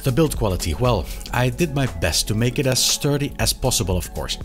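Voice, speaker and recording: A man in his thirties presenting a product review to camera, with a hesitant, halting delivery and frequent pauses. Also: mid-range voice, expressive, faint music behind. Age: 30s. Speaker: video reviewer of sim racing gear. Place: small indoor hobby room.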